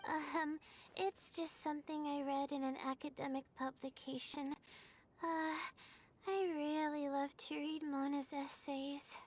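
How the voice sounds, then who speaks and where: Shy earnest game girl, young adult, really high voice, polite and unsure of herself. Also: level tone, unhurried. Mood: earnest, hesitant. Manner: hesitant, polite. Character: shy, earnest young woman astrologer, self-doubting but principled. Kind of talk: video game voice acting.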